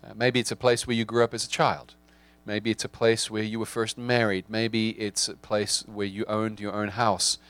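A man in his forties with a South African accent, giving a reflective sermon with frequent restarts and pauses. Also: lowish voice, flat delivery, a bit bright. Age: 40s.

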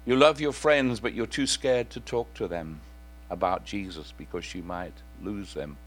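A man preaching a sermon in church in a slightly croaky voice, asking earnest questions and singing parts of a hymn. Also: on the low side, moderate pace.

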